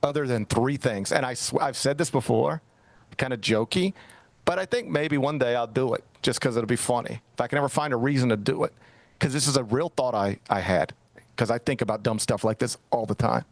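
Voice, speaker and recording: Rambling middle-aged talk host, dry mic. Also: male voice, mid-range voice, brisk, no room sound. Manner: rambling storyteller, self-mocking, lively. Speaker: sports talk show host. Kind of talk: casual radio-style chat.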